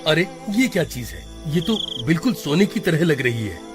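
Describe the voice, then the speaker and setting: Man playing an excitable villager over music. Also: a little high, normal speed. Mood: greedy delight. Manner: exclamatory, talks to himself. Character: greedy, excitable village treasure finder. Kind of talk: Hindi horror cartoon acting. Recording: prominent music under the voice.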